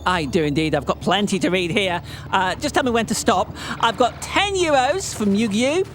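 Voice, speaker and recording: A woman's voice: medium pitch, animated, normal speed. A woman hosting a live event casually, reading out donation messages. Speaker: marathon host reading donations. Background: video game music.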